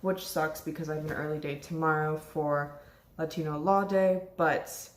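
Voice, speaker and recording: A young woman, a law student vlogging casually, chatty and upbeat with lots of fillers, her voice slightly hoarse. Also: low-pitched, fairly even intonation, moderate pace, reverberant. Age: 20s. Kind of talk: casual vlog chat. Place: home kitchen.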